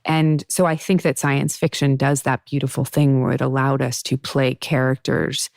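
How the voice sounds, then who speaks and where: Low, gravelly-voiced woman host. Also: flat delivery, moderate pace, darkish tone, no echo, clean studio sound. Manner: chatty, emphatic, thinking aloud. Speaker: podcast host. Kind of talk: casual interview chat.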